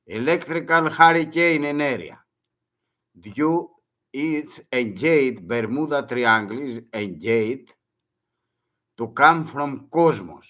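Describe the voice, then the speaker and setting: An older man from Greece with a Greek accent, speaking halting, broken English as a second language and often repeating words in a monologue. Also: mid-range voice, lively intonation, a bit bright. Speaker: retired merchant mariner.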